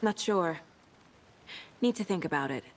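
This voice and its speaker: An actress playing a composed, analytical FBI agent in a video game, murmuring terse, measured deductions. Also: female voice, lowish voice, lively intonation, slight background noise. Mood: brooding, focused.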